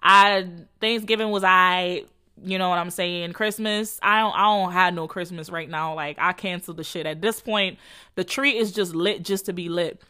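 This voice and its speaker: Bright-voiced Jamaican woman, candid chat. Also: on the low side, steady tone, normal speed. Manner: candid, profane, slangy and rambling. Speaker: podcast host. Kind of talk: casual solo chat to followers.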